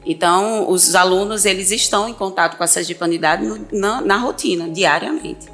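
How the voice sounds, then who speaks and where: A woman school coordinator speaking as a podcast guest, explaining calmly with some halting repetitions. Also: mid-range voice, faint music behind. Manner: calm, halting, repeats words as she explains.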